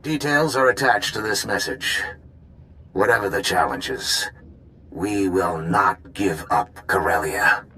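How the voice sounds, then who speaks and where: An actor playing a resolute military supreme commander in a video game, giving a formal, firm briefing. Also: male voice, animated, slow pace, sharp tone, heavily acted. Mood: stern, resolute. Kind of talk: scripted video game acting. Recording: noisy, rumbling military transmission.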